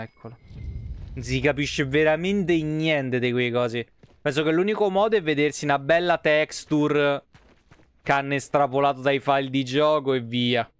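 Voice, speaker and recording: A man's voice: mid-range voice, clear, resonant tone, no echo. A man, giving blunt, wry, sweary live commentary as he streams a video game. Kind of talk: casual live gameplay commentary.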